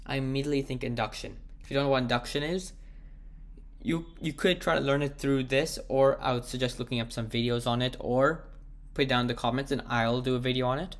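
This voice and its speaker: A man with a sore, croaky throat, thinking aloud as he works through a math problem and slowing down as he types the proof. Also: mid-range voice, fairly even intonation. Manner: thinks aloud, slows down while typing. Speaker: math tutor. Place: at a computer, screen recording.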